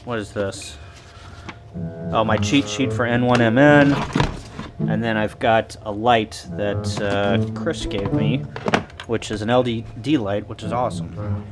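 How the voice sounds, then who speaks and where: A man doing a casual show-and-tell to camera in a halting, list-like delivery. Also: on the low side, fairly even intonation, over loud music. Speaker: vlogger.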